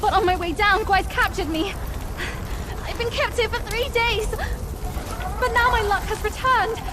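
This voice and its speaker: An actress playing a young fox-spirit princess in a fantasy game, plaintive, beseeching and halting, earnest yet crafty. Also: female voice, extremely high pitch, background noise. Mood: pleading, desperate. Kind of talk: video game fantasy acting.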